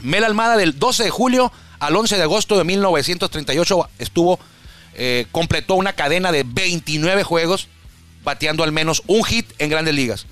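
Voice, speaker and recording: A man's voice: a little high, normal speed, fairly bright tone, faint music behind. A man hosting a baseball talk show, chatting casually and correcting himself as he rambles through statistics. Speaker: baseball stats radio host.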